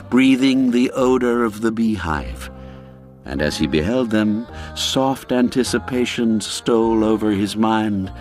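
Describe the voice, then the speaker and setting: A man narrating a classic literary tale in a theatrical, savoring, ornate storytelling style. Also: lowish voice. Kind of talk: scripted literary audiobook narration. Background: background music.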